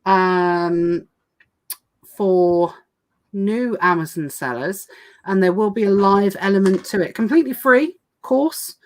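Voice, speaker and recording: A woman hosting a casual livestream chat, talking fast with lots of fillers and restarts, her voice going hoarse. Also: mid-range voice. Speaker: podcast host.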